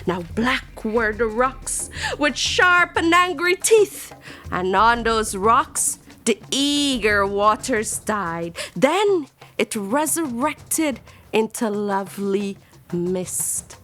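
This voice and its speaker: A woman with a Guyanese accent hosting a children's storytime, speaking in a theatrical, exclamatory way with drawn-out emphasis. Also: a little high, expressive, a bit bright.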